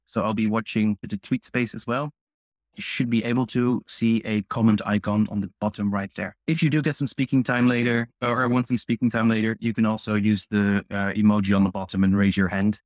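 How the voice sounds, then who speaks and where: A man hosting a live audio chat, speaking in a measured, slightly hesitant and friendly way. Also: lowish voice, no echo. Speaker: podcast host. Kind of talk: casual hosting of an audio space.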